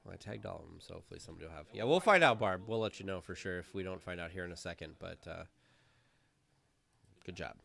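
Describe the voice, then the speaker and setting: A man's voice: low voice, quick pace, vocal fry, fairly bright tone. A man in his late thirties co-hosting a live podcast stream, casual and teasing. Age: 30s. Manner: playful, teasing. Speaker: podcast co-host.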